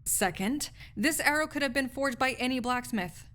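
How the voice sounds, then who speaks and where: A woman's voice: high voice, expressive, normal speed, fairly bright tone. A woman playing a snarky, cynical young research assistant in an audio roleplay, talking in a pedantic, lecturing way with scholarly wording. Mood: dismissive, lecturing. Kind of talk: intimate audio roleplay acting, conversational monologue to listener.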